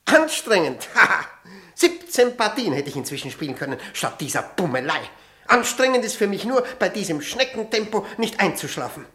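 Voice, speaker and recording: A man playing a highly strung, impatient doctor gripped by chess mania in a radio drama, agitated and repeating himself. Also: sing-song, moderate pace, bright, forceful tone, slight background noise, exaggerated voice. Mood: impatient, scornful. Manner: agitated, stammering, repeating words.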